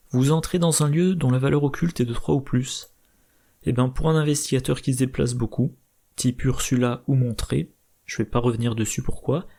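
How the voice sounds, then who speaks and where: A slightly hoarse man methodically explaining card game strategy, thinking aloud with hesitations. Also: mid-range voice, mellow. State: slightly hoarse, irritated throat. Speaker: board game channel host.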